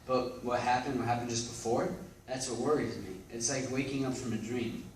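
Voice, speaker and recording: A man's voice: medium pitch, fairly even intonation, moderate pace, close to an everyday voice. Weary, anxious amnesiac investigator, acted by a man, echoey. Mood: wistful, uneasy. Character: weary, anxious former investigator with memory loss. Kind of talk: readers theatre stage acting. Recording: echoey room, distant mic, background noise.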